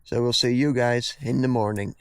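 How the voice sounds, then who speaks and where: A man's voice: medium pitch, steady tone, moderate pace, smooth, no room sound. A man chatting casually as he vlogs from an outdoor winter camp. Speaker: outdoor vlogger. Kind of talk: casual camping vlog chat.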